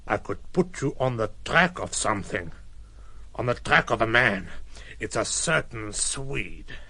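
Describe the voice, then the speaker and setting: An actor playing a wheedling, exasperated conspirator with a German accent in a radio drama. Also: male voice, medium pitch, expressive, unhurried, vocal fry, pushed and bright, slightly rough, heavily acted. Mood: sly, persuading.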